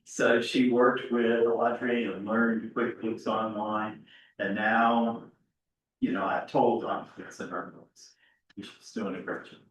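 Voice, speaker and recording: A man with a slightly low voice makes informal hosting remarks, slowly and haltingly. He sounds distant in a very reverberant hall. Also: little pitch movement. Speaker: presentation host. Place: large indoor church. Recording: very echoey hall, distant mic.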